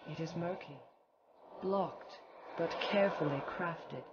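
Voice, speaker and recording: An actress playing a mysterious female spirit seer in a video game, speaking in solemn, cryptic, halting phrases. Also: lowish voice, dull audio, heavy background noise. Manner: slow, portentous, halting phrases.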